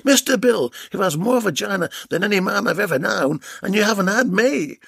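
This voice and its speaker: A man's voice: high-pitched, expressive, a little fast, gravelly, fairly bright tone, breathy edge, dry recording, clean studio sound, pitched above the performer's own voice, exaggerated voice. A man voicing a scheming, cackling Australian woman who taunts her captive, with an Australian accent, in a read-aloud story. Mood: taunting. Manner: taunting, mocking.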